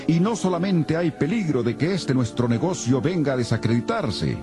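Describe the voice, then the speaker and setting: A man's voice: fairly high pitch, lively intonation, normal speed, music in the background, natural voice. An actor playing an agitated silversmith who persuasively stirs up his fellow craftsmen in a dramatized audio Bible. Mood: urgent, indignant. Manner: rhetorical, persuasive.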